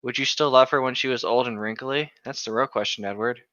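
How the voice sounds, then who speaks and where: A man's voice: medium pitch, steady tone, fairly quick, bright, forceful tone, dry recording. A man co-hosting a casual podcast, wry and blunt, reading and bantering on a somewhat lo-fi microphone. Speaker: podcast co-host. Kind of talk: casual banter and reading a novel aloud with asides.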